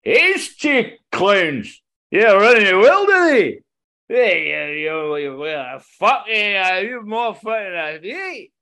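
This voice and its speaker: Man doing an excitable high-pitched babbling Irishman. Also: takes it slowly, heavily acted. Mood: excited, babbling. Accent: put-on Irish. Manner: rambling, repeats himself.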